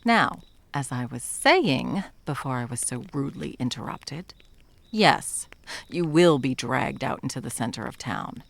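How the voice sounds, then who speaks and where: A woman playing a stern, condescending yet tender monster hunter in an ASMR roleplay, commanding and dropping often into breathy whispers. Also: very deep voice, expressive, a little slow, heavily acted. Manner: commanding, condescending, with pet names.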